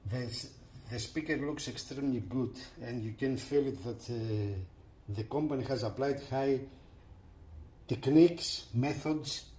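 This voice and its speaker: A man giving a casual, unscripted product walkthrough as a reviewer, with halting delivery and repeated phrases. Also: mid-range voice, dark tone, a little hiss or hum.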